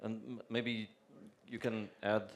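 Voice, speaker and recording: Hesitant low-voiced official man. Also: flat delivery, slow pace. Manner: hesitant, many restarts and fillers. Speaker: government deputy minister on a panel. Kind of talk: panel answers.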